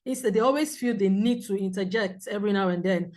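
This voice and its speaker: Woman, loud voice, counsellor, measured teaching. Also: mid-range voice. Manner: clear, measured explaining with examples. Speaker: premarital counsellor. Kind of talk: teaching a seminar talk.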